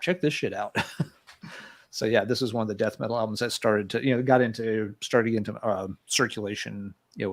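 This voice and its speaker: A man hosting a podcast in relaxed casual chat, leaning on fillers like "you know" and "uh". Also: mid-range voice. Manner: easygoing, fills with "you know" and "uh". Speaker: podcast host. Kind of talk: casual chat about metal albums.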